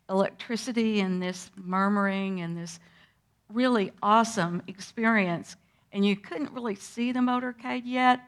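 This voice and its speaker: An older woman guest, telling a vivid personal story to schoolchildren in an animated way. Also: mid-range voice. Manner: lively, animated storyteller who acts out moments. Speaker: interview guest recalling a historic day.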